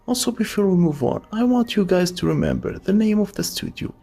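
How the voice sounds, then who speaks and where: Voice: mid-range voice, lively intonation, a little fast, gravelly, dark tone. A narrator reading a scripted voice-over commentary with opinionated, wry asides. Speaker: video essay narrator.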